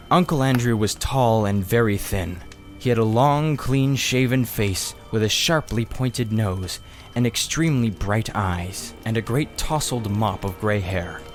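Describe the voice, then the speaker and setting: A man reading a children's story aloud as expressive scripted narration. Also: fairly low pitch. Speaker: audiobook reader.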